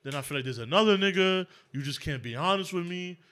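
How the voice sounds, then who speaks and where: A man's voice: high voice, animated, fast talker, sharp tone, clear, resonant tone. A man in his late twenties chatting casually on a group podcast, slangy and insistent, often talking over others. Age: late 20s. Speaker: podcast panelist. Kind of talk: casual heated group chat.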